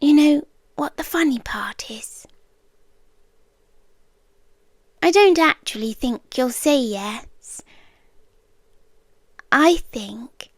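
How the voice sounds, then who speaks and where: Slow, hushed British yandere girlfriend, acted by a woman. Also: high voice, dry recording. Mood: coaxing, unsettling. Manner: hushed, deliberate, softly menacing, tender. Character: soft-spoken obsessive girlfriend stalker, calm, coolly intense, yandere. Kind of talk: intimate girlfriend roleplay ASMR, half whispered.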